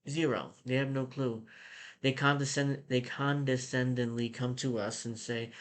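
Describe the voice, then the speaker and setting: A man's voice: medium pitch, steady tone, moderate pace. Blunt, irritated American man. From United States. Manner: blunt, irritated, with frequent restarts. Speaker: political commentator. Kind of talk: casual commentary reading an article.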